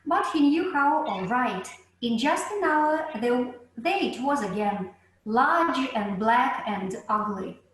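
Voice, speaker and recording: A woman's voice: high-pitched, animated, slow pace, a bit bright, very echoey, rough recording. A woman, an English teacher, reading narration carefully. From Russia.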